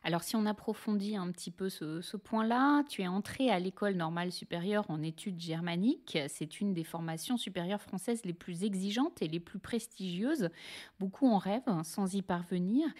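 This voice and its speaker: Podcast host, woman. Mellow, mid-pitched, articulate and polite delivery. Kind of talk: podcast introduction and interview.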